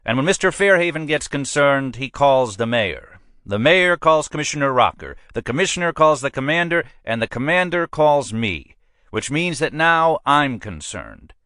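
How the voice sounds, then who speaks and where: A man reads a blustering, pushy police captain who barks his orders in a raised, lively voice that swoops up and down. The sound is close and dry, with no room around it. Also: medium pitch, moderate pace, dry recording, pitched above the performer's own voice. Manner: brusque, pushy, emphatic. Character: blustering middle-aged male police captain.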